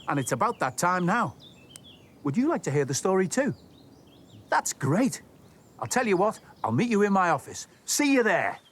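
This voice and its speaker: A man narrating a story for children as host, expressive and speaking directly to young listeners. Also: high-pitched, normal speed, pauses often. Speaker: storytelling host of a children's show, station worker persona.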